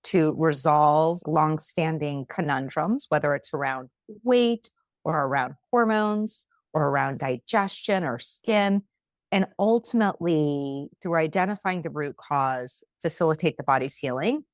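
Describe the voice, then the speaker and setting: A woman doctor guesting on a podcast, speaking deliberately and emphatically, slowing down to stress her key words. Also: low-pitched, dry recording, studio quality. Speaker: functional medicine doctor, guest. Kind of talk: podcast interview.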